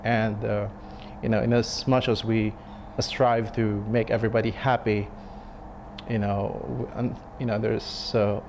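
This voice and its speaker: A man in his thirties, a restaurant chef, speaking in a sit-down interview, thoughtful and halting with many fillers and restarts. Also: on the low side, little pitch movement, noisy background. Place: dim restaurant dining room. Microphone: lapel mic.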